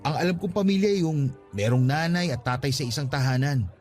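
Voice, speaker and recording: A man's voice: on the high side, brisk, a little mellow, soft background music, close to an everyday voice. An actor in a radio drama playing an earnest, defensive young father pleading with his ex for another chance. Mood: defensive, insistent.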